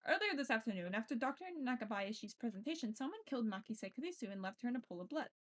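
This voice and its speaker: A woman streamer reading visual novel narration aloud in an expressive way, with casual, sweary reactions. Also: fairly high pitch, quick pace. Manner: expressive, self-interrupting, swears freely. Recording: game music behind the voice. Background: game music.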